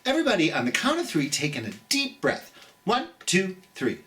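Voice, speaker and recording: An American storytime host reading a picture book to children and chatting in a gentle, theatrical way. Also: medium pitch, wildly swooping pitch, fairly quick, distant mic.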